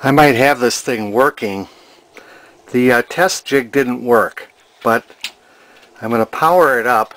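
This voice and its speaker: A man informally narrating a repair demonstration, halting and thinking aloud as he goes. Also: mid-range voice, fairly bright tone. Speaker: hobbyist electronics restorer. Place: cluttered indoor workshop.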